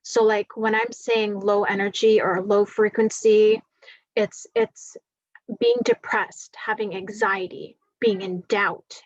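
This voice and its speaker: A woman with a groggy voice giving a spiritual forecast, talking slowly and wandering with many fillers. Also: mid-range voice, flat delivery, dry recording, lo-fi sound. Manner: slow, meandering, many fillers. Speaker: spiritual reader.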